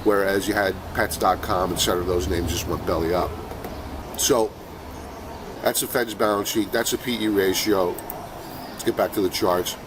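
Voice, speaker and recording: A middle-aged man with a cold, sniffling now and then, giving relaxed market commentary as a trading show host with lots of fillers. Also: low-pitched, moderate pace, pauses often, background noise. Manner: conversational, many fillers and restarts.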